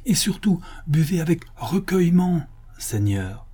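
Give me a man playing an eager, devoted servant in a storybook, deferential and urging, with a husky voice. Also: mid-range voice, sing-song, normal speed, dark tone. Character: devoted, eager male majordomo serving his master.

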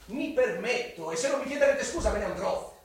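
An actor playing a pompous, easily offended suitor in a radio drama, with a formal, indignant manner. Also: male voice, extremely high pitch, expressive, a little fast. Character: pompous, offended, arrogant middle-class suitor. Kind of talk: Italian radio drama acting. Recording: very echoey, distant, music underneath.

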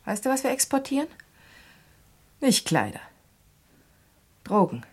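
An actress playing an anxious, trusting businesswoman in a German radio crime drama, speaking in a worried, earnest, often hushed way. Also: high-pitched, sing-song, a little slow, slightly rough, heavily acted. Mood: shaken. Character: anxious, trusting businesswoman caught in a smuggling plot.

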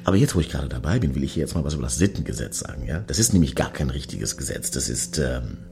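A man acting in a satirical radio play as a brash, opinionated middle-aged club-goer with slangy, sarcastic talk and a gravelly voice. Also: a bass voice, animated, fast talker, a little mellow, soft background music, heavily acted. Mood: mock lecturing. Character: brash, randy, opinionated man queuing outside a Berlin club.

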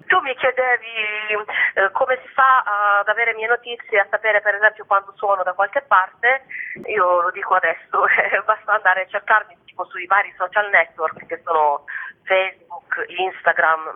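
Female singer-songwriter guest, casual and chatty, thin telephone-quality radio line. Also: medium pitch. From Bari, southern Italy. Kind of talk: casual spoken interview. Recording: narrow phone-like radio line.

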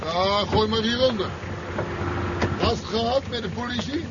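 An actor playing a gruff, nosy, sceptical truck driver in a 1970s Dutch radio play, speaking in a wry, casual, probing way. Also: male voice, very high voice, measured pace. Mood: gruff, welcoming. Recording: dull, very noisy 1970s radio drama recording.

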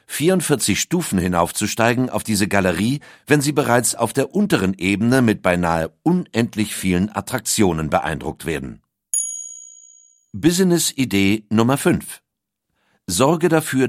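A man's voice: on the low side, no echo, clean studio sound. A man reads scripted documentary narration as one of its narrators. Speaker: second narrator of an audio documentary.

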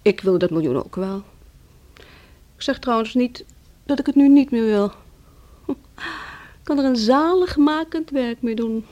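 An actress in a Dutch radio play playing a bitter, proud young wife who talks in a scornful, taunting way. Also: female voice, a little high, lively intonation, unhurried, long pauses, dark tone. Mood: weary, cutting.

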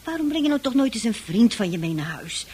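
A woman playing a middle-aged, nagging mother in a radio play, with a husky voice and a hesitant, reproachful manner. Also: fairly high pitch, sing-song, fast talker, a little mellow, slight background noise. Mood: reproachful, worried. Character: worried, weary, nagging mother of a teenage son.

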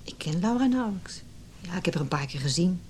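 Actress as wounded anxious wife, dark soft-edged voice, lowish, introspective radio play delivery. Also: female voice, animated, quick pace, slight background noise, close to an everyday voice. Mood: wary, reluctant. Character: anxious, wounded married woman recalling her lonely childhood. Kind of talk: Dutch radio play acting.

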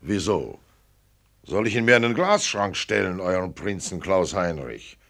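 A gruff, lonely billionaire father of advancing years for a radio play. The voice is low and gravelly, swinging freely in pitch as it grumbles bluntly and waves objections away. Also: big pitch swings, a little slow, a bit bright, full character voice. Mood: grumbling. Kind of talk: German radio play acting.

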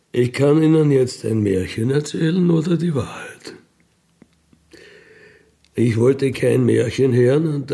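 A man's voice: medium pitch, vocal fry, dark tone. A man of fifty-one with an Austrian accent tells his own story in a colloquial, matter-of-fact way, often adding a questioning tag to his statements. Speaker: career criminal telling his life story. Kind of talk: unscripted personal storytelling.

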